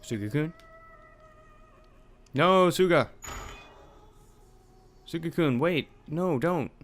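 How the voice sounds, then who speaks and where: A man's voice: a little high, lively intonation, takes it slowly, faint music behind. A young man hosting a let's play, reading out a horror game's dialogue with some drama and swearing in asides. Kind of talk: reading game text aloud with commentary.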